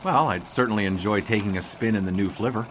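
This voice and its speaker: A man's voice: lowish voice, quick pace, slight background noise, natural voice. An actor playing a dry, slightly uneasy 1920s sportswriter who narrates his adventure in radio-drama style. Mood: casual, mild. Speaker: narrator and lead character.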